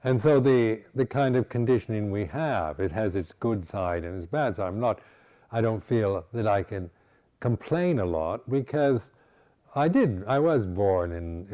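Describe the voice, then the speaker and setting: An older man, a Buddhist teacher, giving a reflective dharma talk with halting restarts. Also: fairly low pitch, highs cut off. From United States.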